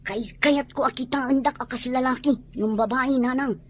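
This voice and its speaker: A woman's voice: high voice, normal speed, slight background noise, natural voice. A woman with an Ilocano accent playing a defiant young tomboy daughter in a radio drama, protesting emphatically. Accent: Filipino, Ilocano.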